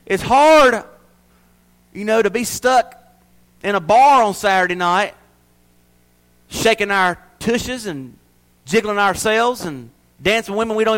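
A man preaching a sermon to his church, swinging from loud emphasis to hushed asides. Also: sits high. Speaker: pastor.

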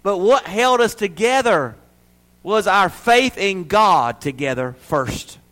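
A man preaching a sermon to his church, swinging from loud emphasis to hushed asides. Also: on the high side, low-quality recording. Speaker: pastor.

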